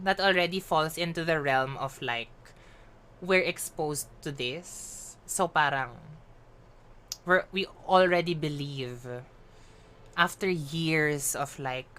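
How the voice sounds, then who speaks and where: Chatty Filipino woman, bright voice. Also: low voice, a little hiss or hum. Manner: chatty, ranting, mixing English with Tagalog. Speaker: livestreamer. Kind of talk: casual gaming stream chat.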